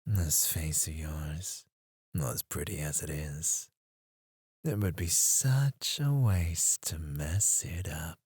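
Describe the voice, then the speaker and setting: A man playing a menacing, teasing kidnapper in an ASMR roleplay, drawling his taunts and dropping into hushed murmurs close to the microphone. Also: very deep voice, expressive, slow, breathy edge, no echo, exaggerated voice. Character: menacing, teasing male kidnapper interrogating a captive. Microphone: close studio microphone.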